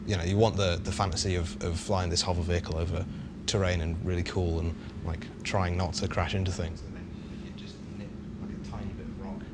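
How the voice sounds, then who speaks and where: A man with a deep voice talks casually on a panel. He explains in a chatty, hesitant manner, with clear noise on the livestream recording. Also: flat delivery, a little fast, long pauses. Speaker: game developer on a panel.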